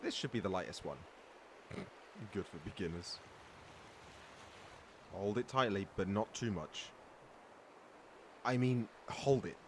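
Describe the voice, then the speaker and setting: A man's voice: lowish voice, takes it slowly, pauses often, noisy background. A man playing a boastful, good-humored veteran pirate and sea-beast hunter in a storytelling roleplay audio drama. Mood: mentoring, calm. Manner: bragging, teasing, theatrical storyteller with hesitant asides. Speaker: voice actor reading a script.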